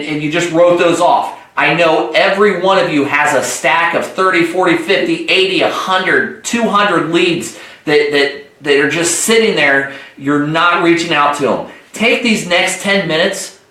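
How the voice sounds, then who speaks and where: Higher-voiced man coaching sales, echoey room. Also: normal speed, pushed and bright. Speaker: sales trainer hosting an agent conference call. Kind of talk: instructional sales coaching talk.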